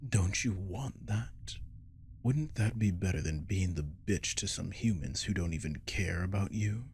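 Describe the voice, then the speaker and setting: A man playing a captive werewolf in an ASMR roleplay, seductive, menacing and possessive, speaking in a slow, coaxing, teasing murmur close to the mic. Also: sits low, darkish tone, a little hiss or hum. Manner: slow, coaxing, teasing, often dropping to whisper. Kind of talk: ASMR audio roleplay acting. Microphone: close microphone.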